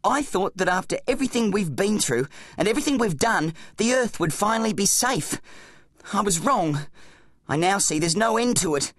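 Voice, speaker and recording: Woman voicing an exasperated, high-pitched boy hero. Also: lively intonation, normal speed, no echo, clean studio sound, natural voice. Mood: gloomy. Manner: insistent, glum. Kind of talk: acted audiobook dialogue.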